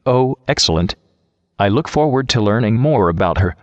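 A man's voice: lowish voice, expressive, normal speed, no echo, lo-fi sound. A man hosting a show in a scripted but conversational style. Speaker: show host.

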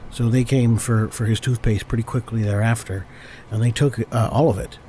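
A man with a cold, hoarse and stammering, hosting an improvised comedy podcast and constantly correcting himself. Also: medium pitch, steady tone, moderate pace, dark tone, slight background noise. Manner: rambling, stammering, self-correcting. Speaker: podcast host.

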